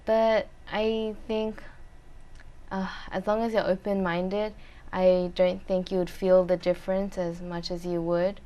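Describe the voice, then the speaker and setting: A young woman answering interview questions in a thoughtful, measured way with frequent pauses. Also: fairly low pitch, flat delivery, mellow, dull audio. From Australia. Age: young adult. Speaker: interview guest, university student.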